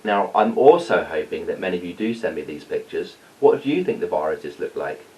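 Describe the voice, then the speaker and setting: A man's voice: deep voice, animated, a little fast, a little mellow, a little hiss or hum. A man speaking as a teacher, telling a story and chatting encouragingly to children. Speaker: teacher reading a story to pupils. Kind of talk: storytelling and informal address to children. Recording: dull sound, distant from mic.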